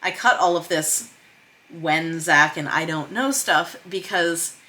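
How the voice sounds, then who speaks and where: A woman hosting a casual video log as a screenwriter, explaining her craft in an animated way with bits of script read out. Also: deep voice, slow.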